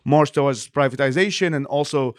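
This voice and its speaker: A man from Iraq speaks as an in an interview. He talks quickly and at length, reworking his sentences as he explains. The sound is close and dry, with no room around it. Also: medium pitch, no room sound. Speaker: interview guest, nonprofit leader.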